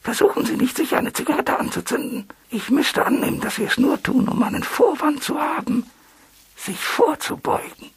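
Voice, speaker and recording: Whispery, creaky menacing crime boss. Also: male voice, extremely high pitch, very animated, dry recording, full character voice. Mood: cold menace. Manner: deliberate, commanding. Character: mysterious menacing male blackmailer, controlled, secretive crime boss. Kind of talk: crime radio play acting. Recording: noisy vehicle ambience.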